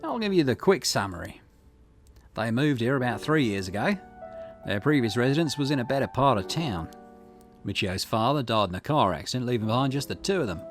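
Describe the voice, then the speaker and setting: A man reads a visual novel's dialogue aloud as a gaming host, at an ordinary middle pitch. His delivery is expressive, with small stumbles now and then, and faint music plays behind him. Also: fairly quick. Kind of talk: reading game dialogue aloud.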